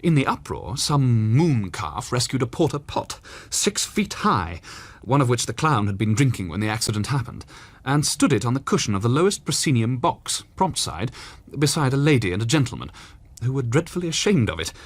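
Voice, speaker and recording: An actor playing an eloquent Victorian storyteller, reading literary passages in a measured, expressive and dramatic way. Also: male voice, medium pitch, no echo. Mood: amused. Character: Charles Dickens, eloquent Victorian storyteller recounting memories. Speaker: reader of Dickens passages.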